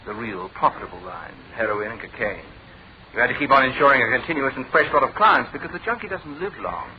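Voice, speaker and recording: An actor with a British accent playing a coolly menacing, sardonic private detective who gives clipped commands in a radio drama. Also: male voice, medium pitch, expressive, fast, lightly acted. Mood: stern accusation. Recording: thin phone-like old radio recording, crowd-like noise.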